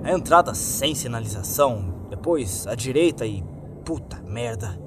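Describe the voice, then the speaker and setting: A man's voice: medium pitch, animated, unhurried, lightly acted. Narrator as a jittery, swooping young slacker guy. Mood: shocked, uneasy. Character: foul-mouthed, jittery young male slacker friend. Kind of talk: creepypasta character dialogue.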